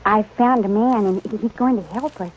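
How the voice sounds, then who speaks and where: A woman's voice: mid-range voice, moderate pace, a little mellow, close to an everyday voice. An actress playing a plucky, worried fifteen-year-old homestead girl with a rural American accent in an old-time radio western. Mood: anxious, pleading. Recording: muffled, noisy old radio recording.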